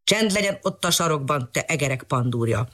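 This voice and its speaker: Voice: medium pitch, expressive, fairly bright tone, natural voice. A storyteller voicing a grumbling, scolding old male dog.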